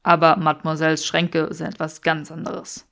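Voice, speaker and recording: A woman's voice: sits low, completely flat delivery, moderate pace, creaky, a bit bright. A woman voicing a smug, teasing young aristocratic man with a drawling, mock-serious manner in an audiobook.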